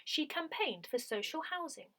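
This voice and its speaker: A woman reading a community newsletter aloud from a script, in measured, clearly phrased sentences. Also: high-pitched, big pitch swings, a bit bright. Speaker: newsletter reader.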